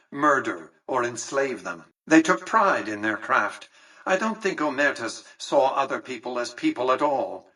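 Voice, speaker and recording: Casting: older tycoon-ruler for a game, condescending and calculating, crisp forceful edge over a husky grain, slightly off-mic. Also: male voice, medium pitch, recorded from a distance, exaggerated voice, poor audio. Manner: pompous, erudite, precise, dryly sardonic. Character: imperious, calculating tycoon ruler of a casino city, condescending and dry. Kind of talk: video game character dialogue.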